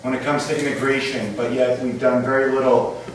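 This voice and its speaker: A man giving a halting, earnest, unscripted question from the audience at a public meeting. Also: mid-range voice, fairly even intonation. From Syria or Canada. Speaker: audience member asking a question. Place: civic centre meeting room. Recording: echoey hall from far off, faint noise.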